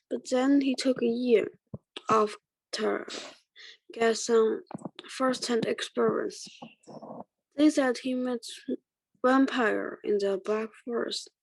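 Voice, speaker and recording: A woman reading a children's fantasy novel aloud haltingly, stumbling over words now and then. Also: on the high side, creaky, dry recording, poor audio. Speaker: reading club participant.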